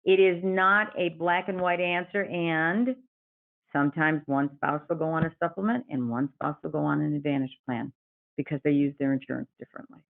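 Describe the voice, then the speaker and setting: A woman's voice: sits low, normal speed, gravelly. A woman, an insurance advisor, patiently explaining Medicare options in an interview and quoting her clients. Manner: patient, explanatory, quotes clients in their own words. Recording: tinny phone-like line.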